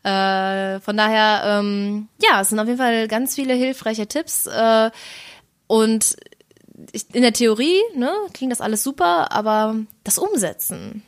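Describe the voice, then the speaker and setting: A young woman with a cold, her voice a bit rough, chatting casually and laughing often as a podcast co-host. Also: fairly high pitch, unhurried. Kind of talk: casual chat.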